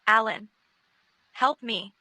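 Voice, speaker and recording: A woman's voice: a little high, slow pace, no echo, exaggerated voice. A woman playing a secretive teenage girl on a phone call in a scripted audio novel, with music behind her. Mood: frightened, pleading. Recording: loud music under the voice.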